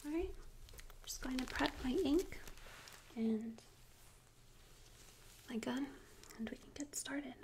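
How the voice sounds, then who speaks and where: A woman speaking softly and whispering in a breathy, slow, gentle way for an ASMR roleplay, playing a tattoo artist, close on a binaural microphone. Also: sits high. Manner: slow, gentle, unhurried instructions. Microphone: close binaural stereo microphone. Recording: close binaural recording with audible noise.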